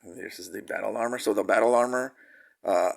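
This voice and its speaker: Casual reviewer, male, slightly low voice, stuffy from a cold, lots of "uh" and restarts. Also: gravelly. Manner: halting, many fillers and restarts. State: nasal from a cold. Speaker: toy reviewer.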